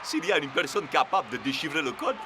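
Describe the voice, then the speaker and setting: A man voicing a laid-back cartoon Rasta football player, with loud music underneath. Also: on the high side, lively intonation, quick pace, heavy background noise, lightly acted. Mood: easygoing.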